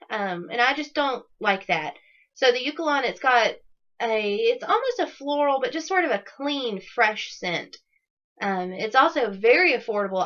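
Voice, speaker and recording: Slightly raspy woman, lo-fi podcast. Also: on the high side, a bit bright. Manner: chatty, many ums, upbeat enthusiasm. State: raspy from a cold. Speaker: knitting podcast host. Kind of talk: casual video podcast chat. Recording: dull lo-fi audio.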